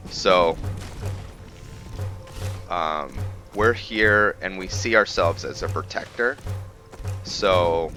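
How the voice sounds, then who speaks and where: Very deep-voiced man musing over gameplay. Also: pushed and bright. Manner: musing, thinking aloud to himself. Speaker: gaming video host. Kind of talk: casual roleplay gameplay commentary. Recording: background music under voice. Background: game music.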